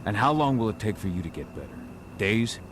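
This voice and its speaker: A man playing a gruff, determined warrior in a video game, terse and defiant in his delivery. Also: fairly low pitch, sing-song, fairly quick, noisy background. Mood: defiant, urgent. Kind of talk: video game cutscene acting. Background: music.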